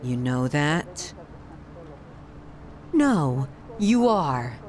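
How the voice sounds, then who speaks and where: A woman's voice: medium pitch, heavily acted. A woman playing a sadistic, possessive yandere in an ASMR horror roleplay, theatrical and sighing, shifting between sweetness and scorn. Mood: exasperated, hurt.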